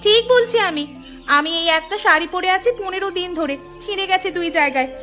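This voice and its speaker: A woman's voice: very high voice, lively intonation, fast, sharp tone, soft background music, exaggerated voice. A woman voicing an outspoken, hardworking young village woman in a cartoon, earnest and emphatic. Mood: indignant, resentful. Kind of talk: cartoon dialogue.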